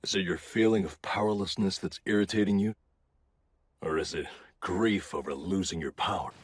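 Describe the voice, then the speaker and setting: A calculating scientist in an anime. The deep voice stays dry and unflappable. Each explanation comes out measured and nonchalant. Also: male voice, breathy edge, no room sound. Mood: calm, calculating. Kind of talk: anime dub voice acting.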